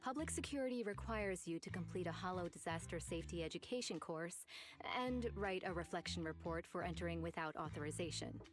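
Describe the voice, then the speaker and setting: A stern policewoman from a video game, acted by a woman, with a lowish voice and a firm, formal, exasperated manner. Music plays clearly behind her. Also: a little fast, lightly acted. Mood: brisk, resigned. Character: stern, official young female public security officer.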